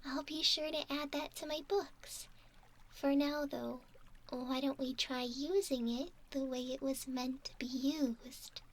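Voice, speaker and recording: Casting: woman as a studious, caring mermaid for ASMR, high soft voice, measured and slightly formal, often whispered, very unhurried. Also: fairly even intonation. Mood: curious, helpful. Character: bookish, doting young female mermaid named Nemu.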